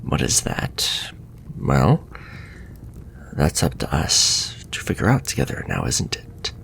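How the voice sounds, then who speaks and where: A man playing a suave, flirtatious, sinister warlock shopkeeper in a fantasy ASMR roleplay, speaking softly and courteously and drifting into breathy whispers. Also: extremely low pitch, huge pitch swings, slow pace, soft background music, full character voice. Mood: sly, bargaining.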